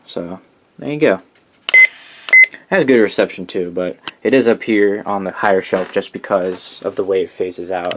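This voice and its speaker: Male hobbyist, lowish voice, casual and rambling show-and-tell, enthusiastic, noisy amateur recording. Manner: rambling, informal, enthusiastic about the items. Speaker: hobbyist collector presenting a radio collection.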